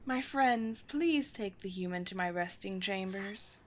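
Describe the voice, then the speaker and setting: A woman playing a haughty, commanding vampire queen in a roleplay audio drama, speaking in deliberate, theatrical phrases with disdain for humans. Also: medium pitch, natural voice. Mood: cool, curious.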